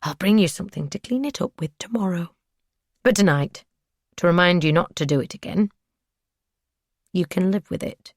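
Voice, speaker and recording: A woman voicing an obsessive male captor who speaks in soft, breathy whispers. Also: lowish voice, expressive, normal speed, pauses often, dry recording, studio quality. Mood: creepy, soothing.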